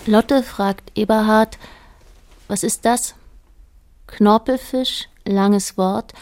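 An actress playing a detached, observant narrator in a radio drama, speaking in a measured, matter-of-fact way. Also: female voice, medium pitch, fairly even intonation, darkish tone, clean tone.

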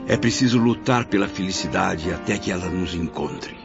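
An actor playing an earnest, compassionate friend with a solemn radio drama delivery. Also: male voice, deep voice, moderate pace, creaky. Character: earnest, compassionate male friend of a suffering soul. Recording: dull lo-fi audio with music.

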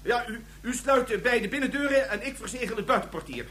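An actor playing a nervous, eager-to-please space station attendant in a radio play, flustered, stammering and giggly. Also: male voice, very high-pitched, brisk, fairly bright tone, a little hiss or hum. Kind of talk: science-fiction radio drama acting.

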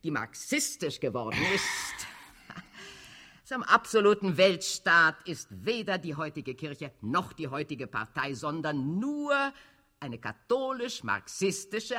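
A man's voice: a little high, pushed and bright, heavily acted. An actor playing a scheming old cardinal statesman in a radio drama, fanatical and coldly authoritarian, with declamatory, sardonic delivery. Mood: fanatical, solemn. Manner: declamatory, sardonic, chuckling rhetoric. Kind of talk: German-language radio drama acting.

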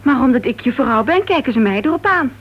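An actress playing a pressing, socially ambitious wife in a radio play. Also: female voice, high-pitched, lively intonation, fairly quick, breathy edge. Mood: reproachful. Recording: dull vintage radio play recording.

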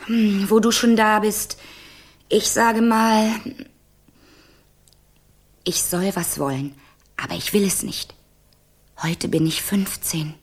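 A stubborn young princess in a fairy-tale radio drama. The voice is husky and unhurried, with a sulky, defiant edge and dry retorts. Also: female voice, medium pitch, fairly even intonation. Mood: sulky, suspicious. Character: stubborn, sulky fifteen-year-old princess. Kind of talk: radio play acting.

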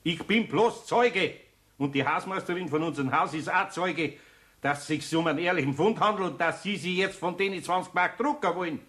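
A man's voice: on the high side, brisk, a bit bright, lightly acted. An actor playing a good-hearted, grumbly older master craftsman in a German radio play, plain-spoken with a Bavarian accent. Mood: indignant. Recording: roomy studio echo.

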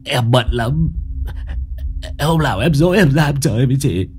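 A man's voice: deep voice, very animated, unhurried, bright, forceful tone, full character voice. A man doing a stammering, terrified old man. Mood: begging. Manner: stammering, begging.

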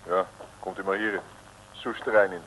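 A man's voice: low-pitched, steady tone, moderate pace, pushed and bright. A man playing a surly, terse doorman in an old radio serial, dismissive and curt. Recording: noisy, crackly old radio recording.

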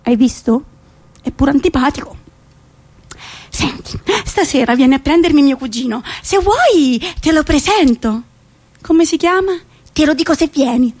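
A woman's voice: high voice, expressive, unhurried, darkish tone. A woman playing a teasing, skeptical young female friend in a stage monologue, with a conversational, needling manner. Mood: coaxing.

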